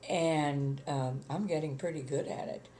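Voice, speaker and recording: An older woman with a gravelly voice, chatting plainly about her life in an interview, with many ums. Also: low voice, fairly even intonation, a little slow, mellow, a little hiss or hum. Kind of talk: casual reminiscing chat.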